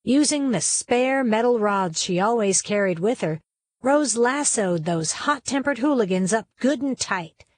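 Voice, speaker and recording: A woman reading a children's story aloud as narrator, in a folksy, expressive storytelling manner with dramatic emphasis. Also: fairly high pitch, unhurried.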